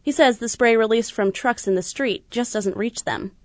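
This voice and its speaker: A woman radio reporter narrating a scripted news feature in a measured, even delivery. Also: fairly high pitch, dry recording.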